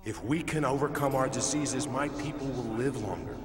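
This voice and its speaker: A man's voice: medium pitch, normal speed, soft background music, natural voice. Give me a man playing a confident anime cadet, long reverb. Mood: hopeful. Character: confident, practical male space cadet, young adult. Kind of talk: English anime dub acting. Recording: reverberant sound, long echoing tail.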